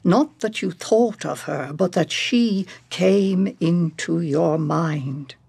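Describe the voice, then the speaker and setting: A woman counselor in a radio drama, with a husky, creaky voice. She speaks deliberately and presses with searching, rhetorical questions. Her delivery is unhurried and insistent. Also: lowish voice, darkish tone, no room sound, full character voice. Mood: insistent, philosophical.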